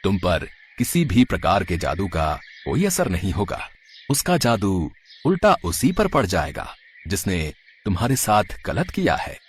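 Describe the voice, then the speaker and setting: A man's voice: sits low, huge pitch swings, a little slow, a little hiss or hum, heavily acted. A man voicing a kindly, wise old holy man in a moral story, solemn and full of blessings.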